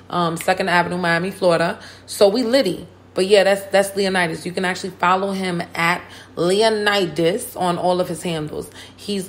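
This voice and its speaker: A hoarse woman hosting a hip hop and politics podcast, talking casually and full of slang and hype. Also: on the low side. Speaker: podcast host. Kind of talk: casual chat.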